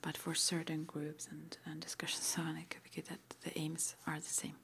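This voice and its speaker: A woman whispering breathily in an ASMR roleplay, gently and hesitantly asking questions like an interviewer. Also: deep voice, completely flat delivery, fairly quick. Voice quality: breathy whisper, mostly unvoiced.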